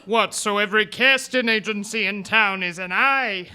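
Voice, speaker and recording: A man's voice: sits high, normal speed, pushed and bright, smooth. An actor, a man, playing a cheeky 1940s hotel keeper in a live radio play on a noisy stage recording. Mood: defensive. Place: theatre stage before a live audience.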